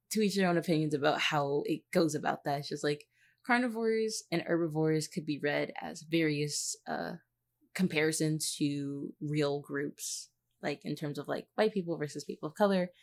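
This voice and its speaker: A woman's voice: sits low, normal speed. A woman chatting on a podcast in an informal, reflective, analytical way, often padding her points with "like". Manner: informal, reflective, hedging with frequent 'like'. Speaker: voice actor, podcast guest. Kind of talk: casual analytical podcast chat.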